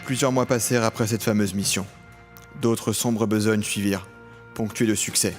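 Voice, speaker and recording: A man's voice: on the low side, fairly even intonation, moderate pace, soft background music, close to an everyday voice. An actor playing a tormented criminal who tells his own story in a solemn, literary way in an audio drama. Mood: brooding. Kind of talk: scripted audio drama narration and dialogue.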